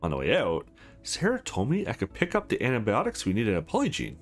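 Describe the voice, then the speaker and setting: Man voicing a scrappy streetwise young woman, lively. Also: medium pitch, fairly quick, faint music behind. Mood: fond, matter-of-fact.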